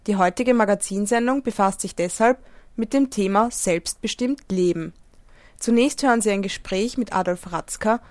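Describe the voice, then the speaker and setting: A woman's voice: medium pitch, a little mellow, no echo. Woman presenting a radio magazine. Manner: clear, measured announcing. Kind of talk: scripted radio introduction.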